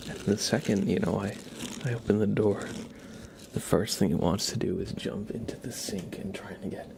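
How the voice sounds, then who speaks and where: A man speaking in a soft, gravelly whisper, chatting casually and gently as an ASMR live streamer greeting viewers. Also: fairly low pitch, steady tone, moderate pace, background noise. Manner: gentle, rambling chat with viewers and pet. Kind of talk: ASMR livestream casual chat.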